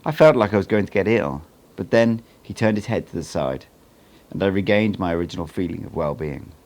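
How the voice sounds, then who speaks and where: A man reading a book aloud as an amateur audiobook narrator, in an expressive storytelling style. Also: sits low, brisk.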